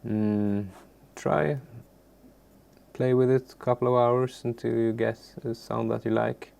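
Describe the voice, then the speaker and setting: A man from Sweden, a native Swedish speaker, giving an informal, unscripted software tutorial in a halting, unassuming way with a gravelly, creaky voice. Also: mid-range voice, steady tone. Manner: halting, searching for words, unassuming. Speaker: music software tutorial presenter. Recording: faint background noise, keyboard clicks.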